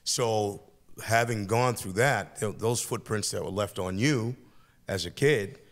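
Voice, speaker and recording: A man's voice: on the low side, measured pace. An older man, a teacher hosting a studio podcast, interviewing a student with reflective, encouraging remarks in long flowing sentences. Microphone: boom-arm studio mic.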